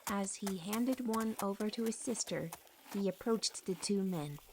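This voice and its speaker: A woman's voice: medium pitch, a little slow, darkish tone, slight background noise, low-quality recording. A woman narrating a scripted fantasy story.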